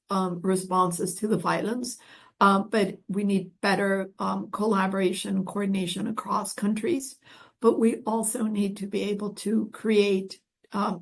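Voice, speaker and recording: A woman from Colombia answers audience questions as a guest expert. Her voice is husky and creaky, and she makes her points earnestly and with emphasis. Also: mid-range voice. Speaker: guest speaker, sustainable development expert.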